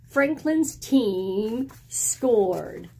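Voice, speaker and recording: A middle-aged woman reading a picture book aloud in an expressive, deliberate storytelling style, indoors at home. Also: a little high, gravelly, husky. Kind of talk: expressive children's read-aloud. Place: small indoor room at home with bookshelves.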